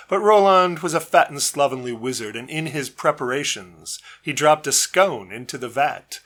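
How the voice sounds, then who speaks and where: A man's voice: medium pitch, a bit bright. Man reading a narrative poem aloud. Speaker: poet reading own work. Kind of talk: scripted poetry reading, storytelling narration.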